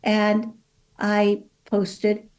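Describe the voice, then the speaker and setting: A woman's voice: mid-range voice, monotone, very slow, darkish tone. A woman in her fifties who grew up in Mexico City, speaking with a Californian accent, chatting as a guest author in an interview with lots of fillers. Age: 50s. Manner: chatty, many fillers, voices her own past thoughts. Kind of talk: casual interview talk.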